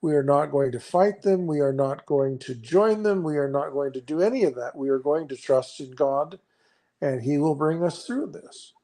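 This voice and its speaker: A man with a somewhat high voice explains scripture in a conversation. He talks earnestly but haltingly, circling back and restarting his sentences as he works through his point. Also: mellow. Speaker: guest.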